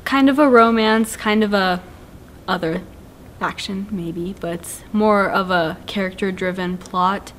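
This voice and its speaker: A woman's voice: medium pitch, measured pace, a little hiss or hum. A teenage young woman from Colorado chatting casually in an interview, animatedly acting out reactions. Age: teens. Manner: animated quoting with frequent "like". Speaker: student playwright.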